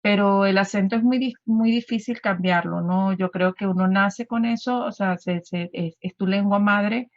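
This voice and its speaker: A woman's voice: medium pitch, moderate pace, few pauses, dry recording, highs cut off. A woman in her fifties with a Venezuelan accent, a guest talking in a conversational radio interview with many fillers and restarts.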